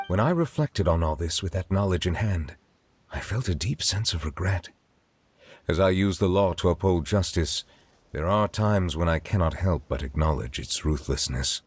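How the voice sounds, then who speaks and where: An actor playing a formal, grave male judge who speaks in measured sentences in a game cutscene. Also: sits low. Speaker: narrator of past events.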